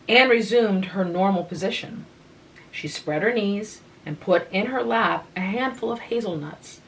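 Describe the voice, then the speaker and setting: A woman reading a short story aloud in a calm, unhurried way, hosting her own channel. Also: medium pitch, low-quality recording. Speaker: reader and channel host.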